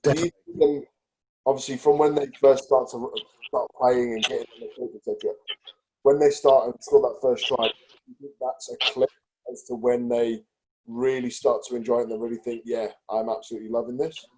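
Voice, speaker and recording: A man hosts a podcast, leading the talk with open, engaged questions. His voice is mellow and dark in tone at an ordinary pitch. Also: little pitch movement, brisk, poor audio. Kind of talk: interviewing.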